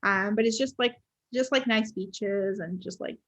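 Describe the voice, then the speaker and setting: A woman's voice: mid-range voice, unhurried, fairly bright tone. A woman from Puerto Rico hosting a book podcast, chatting casually with many "like" fillers. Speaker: podcast host. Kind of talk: casual interview chat.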